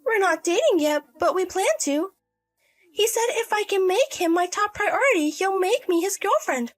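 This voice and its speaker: A woman's voice: extremely high pitch, expressive, normal speed, voice pushed up. A woman voicing a selfish, irresponsible young single mother in a story drama, wheedling and petulant. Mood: airy, defensive. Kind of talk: acted dialogue in a narrated drama story.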